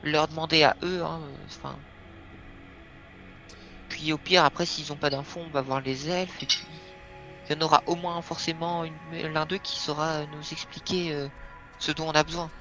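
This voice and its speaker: A man's voice: on the high side, flat delivery, normal speed, sharp tone, faint music behind. A man playing a tabletop role-playing game, planning moves aloud with many fillers. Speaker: player. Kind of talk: casual chat planning a game session.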